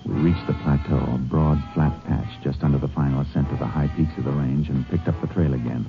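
A seasoned, stern Texas lawman in a 1950s radio western. His American voice is extremely low, clipped and commanding. The recording is muffled vintage radio with music around it. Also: steady tone, brisk. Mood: tense narration. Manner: terse, clipped, authoritative. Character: stern, shrewd male Texas Ranger, a seasoned tracker. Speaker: lead actor and narrator.

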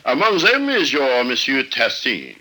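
Big jolly french innkeeper, super bright booming voice, swoops around a lot, old radio show. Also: male voice, huge pitch swings, unhurried, full character voice, poor audio. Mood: obliging. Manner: effusive, peppering talk with French. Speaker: actor in a radio drama. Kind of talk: old-time radio acting. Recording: vintage radio drama recording.

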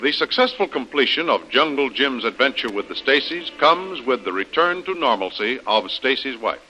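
A man's voice: mid-range voice, animated, normal speed, bright, forceful tone, soft background music, rough recording. A man announcing and narrating a 1930s radio serial from a script in a formal, emphatic manner. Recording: old radio broadcast recording.

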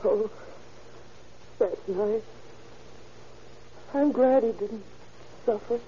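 An actress playing a grief-stricken mother in an old radio drama, speaking haltingly and tearfully, pleading. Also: medium pitch, mellow. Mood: dazed grief. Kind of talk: 1930s radio acting. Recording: noisy, muffled old radio recording.

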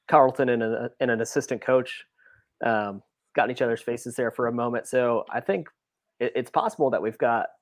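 A man's voice: medium pitch, no room sound. A man co-hosting a sports podcast, giving casual analysis in a conversational way. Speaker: podcast co-host.

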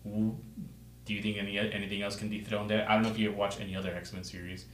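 Fast-talking low-voiced man, echoey room. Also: level tone, a bit bright. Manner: hesitant, self-deprecating, swears casually. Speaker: podcast co-host. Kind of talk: casual chat.

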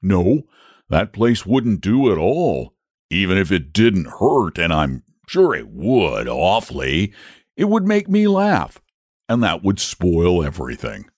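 A man's voice: low voice, wildly swooping pitch, takes it slowly, a little mellow, no room sound, studio quality, voice pushed up, exaggerated voice. A man voicing a big, genteel, old-fashioned storybook dragon with a drawling, testy, fussily polite manner. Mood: coy. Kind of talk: storybook character voice.

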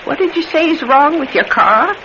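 A woman's voice: high voice, lively intonation, moderate pace, bright, forceful tone. A woman playing a sprightly, independent old widow, chatty and folksy in an old-time radio drama. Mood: brisk, hospitable. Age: older. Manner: folksy, chatty, muttering to herself. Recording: noisy, muffled old radio recording.

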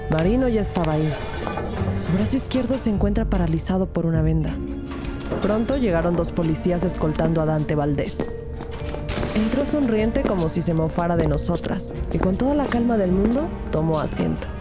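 A woman playing a young, earnest detective who narrates and questions in a measured, uneasy way in an audio drama. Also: fairly low pitch, lively intonation, close to an everyday voice. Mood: uneasy, reflective. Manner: measured, serious storytelling. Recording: loud music under the voice.

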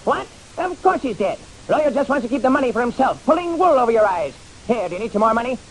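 A man's voice: extremely high pitch, sing-song, quick pace, full character voice. An actor playing a small, nervous, pushy man greedy for an inheritance, clipped and impatient, in an old-time radio detective drama. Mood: indignant. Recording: hissy old radio recording.

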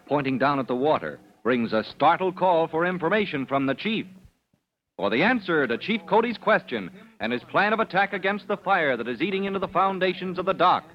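A man announcing and narrating an old radio serial in an emphatic, theatrical style. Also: on the high side. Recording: muffled old radio recording.

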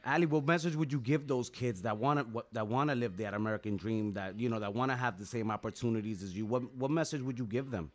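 A man's voice: mid-range voice, quick pace, hardly any pauses. A man interviewing a guest, rambling through long questions full of 'you know' and restarts.

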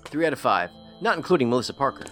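A sardonic federal agent with a mid-pitched voice. His delivery is dry and understated, doubting and wry, in a sci-fi audio drama with music underneath. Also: sing-song, rapid-fire, a bit bright. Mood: skeptical. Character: skeptical, dry-witted male federal investigator. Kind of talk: science fiction audio drama acting.

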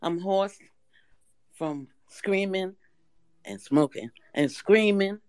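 A hoarse woman calling in to a live fan audio room, talking loud and emphatic, with some swearing. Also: mid-range voice, slow pace, no echo. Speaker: caller. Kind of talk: casual fan chat.

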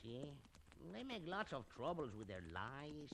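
An actor playing a jolly, boastful Mexican cowboy sidekick with exaggerated broken English in an old-time radio western. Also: male voice, a little high, sing-song, unhurried, a bit bright, heavily acted. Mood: earnest. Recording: noisy old radio recording.

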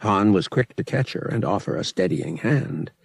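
Husky male audiobook narrator, animated pitch. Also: moderate pace, dry recording, studio quality. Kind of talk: scripted story narration.

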